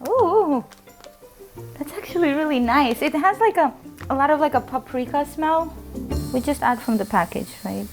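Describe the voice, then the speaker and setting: A woman's voice: sits high, moderate pace, faint music behind. A woman from Turkmenistan, a home cook talking casually and eagerly about tasting a new dish, with many fillers. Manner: chatty, filler-heavy, excited. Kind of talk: casual reaction while cooking.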